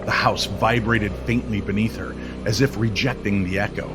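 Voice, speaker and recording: A man's voice: lowish voice, steady tone, normal speed. Theatrical man narrating over prominent music. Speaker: storyteller and co-narrator of an audio story series. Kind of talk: dramatic scripted story narration, nostalgic old-time radio feel. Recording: music playing behind the voice.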